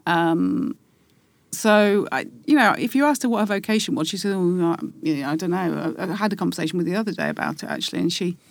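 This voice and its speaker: A woman's voice: on the low side, big pitch swings, fast talker, no room sound. A middle-aged woman from England with a faded Geordie accent, talking reflectively as a podcast guest and rambling with many restarts. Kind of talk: casual interview chat.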